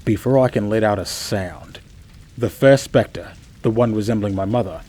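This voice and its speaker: A man playing a chatty, bewildered narrator of an eerie first-person radio play, theatrical, with asides and dramatic pauses. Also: fairly low pitch, a little mellow, lightly acted. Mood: fearful, dramatic. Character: chatty, bewildered man telling his own eerie tale.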